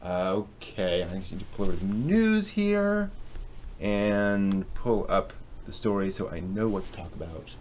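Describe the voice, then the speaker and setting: A man hosting a live stream in a casual, chatty way, with a halting, hesitant delivery that tends to trail off. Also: fairly low pitch, animated, a little mellow, a little hiss or hum.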